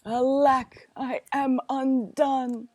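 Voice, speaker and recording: A woman's voice: high voice, takes it slowly, dark tone, dry recording, studio quality, voice pushed up. A woman doing a fretful old tailor. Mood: pleading. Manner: lamenting, old-fashioned speech. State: feverish in parts. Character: poor old male tailor, anxious and fretful.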